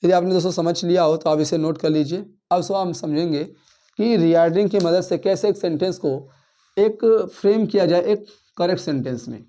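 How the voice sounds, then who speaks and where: A man's voice: high-pitched, brisk, mellow. A male English teacher giving a grammar lesson in speech, who keeps asking his listeners questions and then answering them himself. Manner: addresses listeners as friends, asks and answers his own questions; counts words aloud, repeats and rephrases points.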